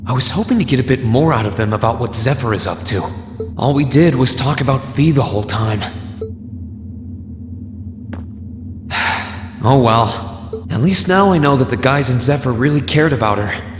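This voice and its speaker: A man's voice: mid-range voice, lively intonation, moderate pace, lightly acted. An actor playing a polite, loyal and resolute young male student in a video game, speaking sincerely. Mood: musing. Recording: music behind the voice.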